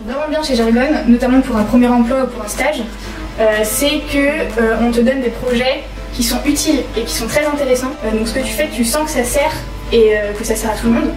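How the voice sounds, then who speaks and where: A woman in her twenties from Brittany, giving a casual workplace testimony with frequent hesitant pauses. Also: a little high. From Brittany, France. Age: 20s. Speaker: company employee giving testimony. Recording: roomy, distant mic, background music.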